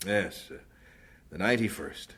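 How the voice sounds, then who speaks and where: An actor playing a patient, firm and devout missionary husband in a radio drama, speaking in a measured, earnest way. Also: male voice, lowish voice, expressive, a bit bright, slight background noise. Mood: quiet, earnest.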